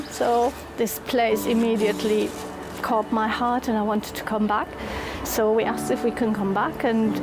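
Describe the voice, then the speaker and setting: A woman in her fifties from Germany with a German accent gives a reflective, earnest personal testimonial as a volunteer, pausing and repeating phrases. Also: mid-range voice. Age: 50s. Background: clear music under the voice.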